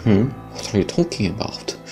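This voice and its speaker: A man's voice: fairly low pitch, big pitch swings, normal speed, mellow, faint music behind. A young man with a Polish accent, reading a visual novel aloud and chatting, stumbling over words. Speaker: let's play host.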